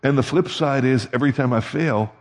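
A man's voice: medium pitch, fairly quick, darkish tone. Man preaching in a reverberant hall. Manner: conversational, asks the congregation questions. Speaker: pastor. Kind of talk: sermon.

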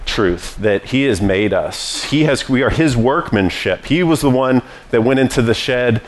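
A man's voice: mid-range voice, expressive, unhurried. A man preaching a sermon as pastor, teaching in a conversational way with frequent restarts.

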